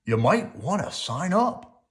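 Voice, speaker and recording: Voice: medium pitch, sing-song, takes it slowly, voice pushed up. A storybook reader voicing an easygoing father bear with a folksy, encouraging manner.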